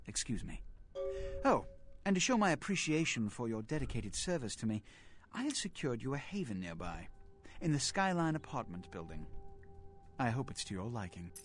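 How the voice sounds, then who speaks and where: An actor playing a commanding, calculating vampire lord in a video game, speaking in a formal, pompous and imperious manner. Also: male voice, mid-range voice, big pitch swings, moderate pace, faint music behind, close to an everyday voice. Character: commanding, calculating male vampire ruler of a city. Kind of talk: dramatic video game voice acting.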